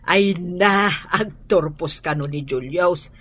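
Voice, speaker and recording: A woman's voice: lowish voice, takes it slowly, a bit bright, breathy edge, exaggerated voice. A woman playing a kindly, patient old grandmother in a radio drama, with an Ilocano accent and many sighing interjections. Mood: warm, agreeable.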